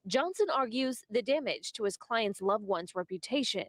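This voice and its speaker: A woman reads a scripted news report as a television reporter. Her voice sits somewhat high in pitch, and she narrates in a formal broadcast style. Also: expressive, normal speed, no room sound, clean studio sound.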